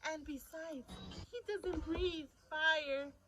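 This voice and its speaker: A woman's voice: high-pitched, steady tone, slow, a bit bright, slight background noise, pitched above the performer's own voice. A woman voicing a kindly, patient male knight from a storybook in a coaxing, reassuring manner. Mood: soft, reassuring. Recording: dull lo-fi audio with music behind.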